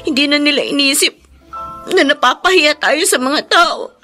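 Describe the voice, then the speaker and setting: A woman's voice: high voice, animated, normal speed, sharp tone, soft background music. An actress playing a troubled, sorrowful young woman in a radio drama, speaking plaintively and earnestly. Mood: hurt.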